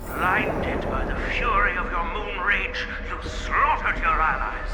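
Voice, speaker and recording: Game role: stern accuser, higher male voice, echoey with music. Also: expressive, slow. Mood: accusing. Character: accusing male comrade condemning a traitor. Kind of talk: video game cutscene acting.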